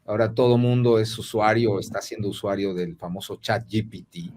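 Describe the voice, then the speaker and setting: A man hosting a podcast conversation, asking questions with many filler pauses. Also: lowish voice, a little mellow, slight background noise. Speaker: podcast host. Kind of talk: casual conversational interview.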